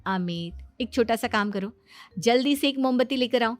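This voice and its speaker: A woman's voice: fairly high pitch, normal speed, no room sound, faint music behind, natural voice. A woman voicing a boastful, breezy young daughter-in-law who knows everything, in an acted comedy family story. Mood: smug, confident. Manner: boastful, breezy, self-assured. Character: cocky know-it-all young daughter-in-law, proudly showing off.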